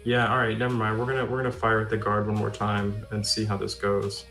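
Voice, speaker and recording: A man's voice: lowish voice, monotone, brisk, gravelly, fairly bright tone. A man playing a tabletop game, casually thinking aloud about his moves. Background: background music.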